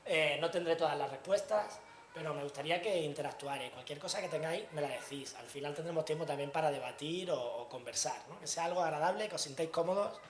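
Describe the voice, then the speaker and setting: A man's voice: a little high, fast talker, a little hiss or hum. A man from Granada with an Andalusian Spanish accent, giving a chatty conference talk to students in a university hall and often asking the audience questions. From Granada, Spain. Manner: chatty, asks the audience questions, frequent tag questions. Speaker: invited speaker.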